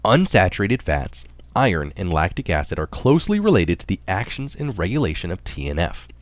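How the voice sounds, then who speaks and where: A man reading a health article aloud in a measured, explanatory manner, sometimes adding his own notes. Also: low voice, sing-song, no room sound.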